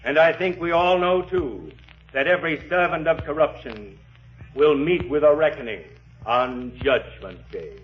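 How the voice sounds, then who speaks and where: An actor playing a solemn, earnest preacher delivering a measured, oratorical sermon in a 1940s radio drama. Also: male voice, on the high side, lightly acted. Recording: muffled 1940s radio recording, music behind.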